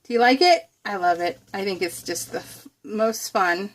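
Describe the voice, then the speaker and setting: A woman's voice: medium pitch, moderate pace, low-quality recording. An American woman chatting casually to viewers while crafting, in a bubbly, giggly, rambling, gushing way. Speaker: craft vlogger hosting a scrapbook process video.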